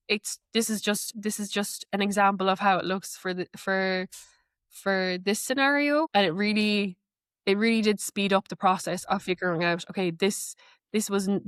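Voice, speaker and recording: A woman explains a piece of software in a conversational walkthrough. The sound is dry, with very little room around her voice. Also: medium pitch, clean tone, dry recording. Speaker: guest, AI knowledge manager.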